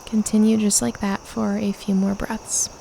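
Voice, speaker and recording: A woman leading a guided meditation, calmly and gently instructing with unhurried pauses. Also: mid-range voice, vocal fry, slight background noise.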